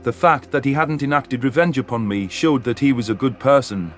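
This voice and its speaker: A man's voice: mid-range voice, a little fast, faint music behind. A man reading a scripted first-person science fiction story as a dramatic narration.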